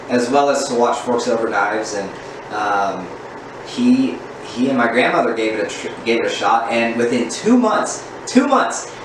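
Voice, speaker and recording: Earnest man in a very echoey room. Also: mid-range voice. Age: 30s. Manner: earnest, candid, unhurried storytelling. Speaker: vlogger. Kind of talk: personal story vlog. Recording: very echoey room, distant mic, steady background hum.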